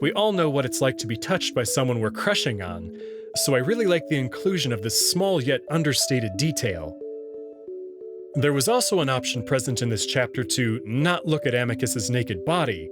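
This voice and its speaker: Man, medium pitch, animated delivery, wry scripted commentary, playful asides, clear background music. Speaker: host reading a visual novel and commenting on it.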